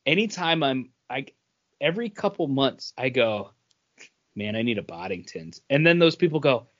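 A man with a medium-pitched voice talks casually as a podcast co-host. He offers opinions and qualifies them as he goes. The audio is a little lo-fi and muffled. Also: expressive, moderate pace, a bit bright. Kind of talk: casual beer review and chat. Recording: dull, band-limited audio.

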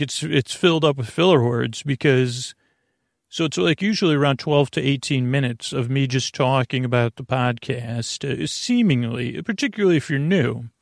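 A creaky, gravelly man rambles through a bedtime podcast monologue, wandering off on tangents and padding every thought with filler words. The recording is close and dry, with no room sound. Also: mid-range voice, no echo. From United States. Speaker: podcast host. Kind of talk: meandering sleep podcast monologue.

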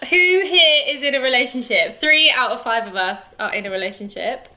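A woman's voice: on the high side, brisk, noisy background. A woman in her twenties hosting a casual podcast chat with family, teasing and laughing as she talks. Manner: giggly, teasing, lively. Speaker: podcast host. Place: indoors at home with friends.

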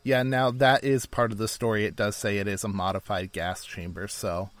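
A man's voice: on the low side, flat delivery, normal speed, dry recording. A man co-hosting a podcast in casual, joking, informal banter. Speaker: podcast co-host.

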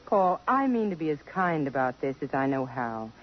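A woman's voice: sits low, sing-song, moderate pace. An actress playing a poised, self-possessed young woman under suspicion in a 1950s radio drama, composed, direct and earnest. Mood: resolute. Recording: dull lo-fi 1950s radio recording.

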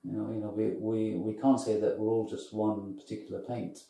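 Gravelly low-voiced man in an echoey room. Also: completely flat delivery, brisk, mellow. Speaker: podcast co-host. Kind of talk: reflective conversational chat. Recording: echoey room, distant from mic.

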